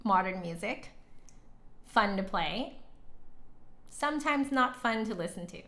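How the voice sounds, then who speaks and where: A woman's voice: mid-range voice, animated, a little slow, a bit bright. A woman with a mid-range voice chats casually as a livestream host. She talks to her viewers in a rambling, giggly way, drifting into asides as she goes.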